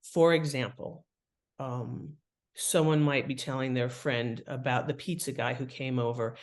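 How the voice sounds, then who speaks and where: A woman speaks as an interview guest at a middling pitch. She explains her points in an animated, conversational way, like an author talking through her craft. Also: unhurried. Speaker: guest, fiction writing author.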